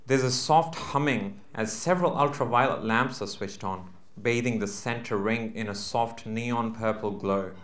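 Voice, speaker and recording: A man's voice: on the low side, normal speed, a bit bright. A man reading a literary short story aloud at a live event, in narrative prose. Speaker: reader at a book launch. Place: live book launch venue. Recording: roomy, echoing live venue recording.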